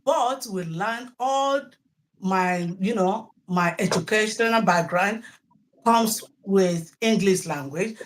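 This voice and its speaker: A woman's voice: medium pitch, takes it slowly, slight background noise. A middle-aged Nigerian woman, a native Yoruba speaker, talking at length as a teacher being interviewed, often repeating words and restarting. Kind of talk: interview answers.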